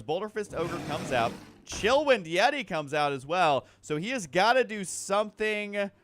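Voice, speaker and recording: A man with a slightly high voice talks through his gameplay as host of a gaming video. The delivery is casual and unscripted, wandering along as he works out his thoughts aloud. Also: fairly bright tone, a little hiss or hum. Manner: rambling, thinking aloud. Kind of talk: casual off-the-cuff gameplay commentary.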